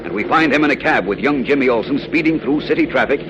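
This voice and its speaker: A man's voice: mid-range voice, expressive, fast, lo-fi sound, lightly acted. A man acting as the grand, urgent announcer of a 1940s superhero radio serial, with emphatic delivery. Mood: dramatic. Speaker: announcer and narrator. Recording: old radio recording, music behind.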